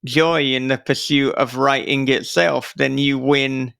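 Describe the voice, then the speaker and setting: A man hosts a reflective interview at an unhurried, slow pace. His voice is clean and smooth yet noticeably creaky at the edges, and he sounds earnest and thoughtful. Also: mid-range voice, steady tone, fairly bright tone, dry recording, clean studio sound. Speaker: podcast interviewer and host.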